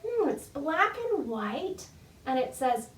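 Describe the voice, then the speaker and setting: A woman's voice: high voice, big pitch swings, slow pace. A woman leading a children's storytime in a playful, encouraging, sing-song way, with simple phrasing for babies and their parents. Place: indoor room, recorded virtual session. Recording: echoey room, distant microphone.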